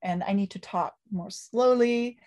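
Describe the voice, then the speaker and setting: A woman's voice: medium pitch, a little slow, warm tone. Reflective woman professor from Italy. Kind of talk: reflective interview talk.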